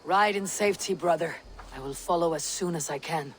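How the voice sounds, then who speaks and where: An actress playing a calm, steadfast Viking warrior in a video game, speaking in terse, formal, resolute lines. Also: female voice, fairly low pitch, a little fast, a little hiss or hum, lightly acted. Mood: steady, resolute. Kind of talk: dramatic video game cutscene acting.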